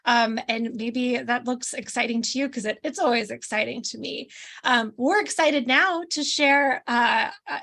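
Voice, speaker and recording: A woman's voice: sits high, fairly bright tone. A woman hosting an online panel over a video call, chatty and loose, with lots of ums and restarts. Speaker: host of an online alumni panel. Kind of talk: casual live-stream hosting.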